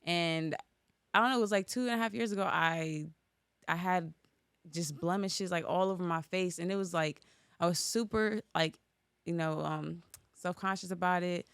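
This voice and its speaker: A woman's voice: fairly low pitch, normal speed, clear, resonant tone, no room sound, clean studio sound. A woman hosting a casual podcast chat, talking in a loose, rambling way with lots of "like". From Norwalk, Connecticut, USA. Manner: chatty and rambling, heavy use of "like". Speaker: podcast host.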